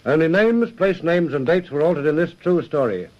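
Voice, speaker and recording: A man's voice: a little high, animated, normal speed. A man narrating a radio drama in a formal, solemn, scripted vintage style. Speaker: narrator and host. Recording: dull old radio recording. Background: faint music.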